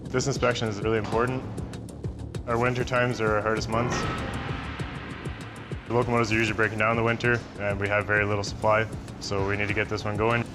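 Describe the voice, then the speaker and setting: A man's voice: lowish voice, level tone, quick pace, pauses often. A man working as a locomotive mechanic, talking casually on the job. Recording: loud music, engine noise behind.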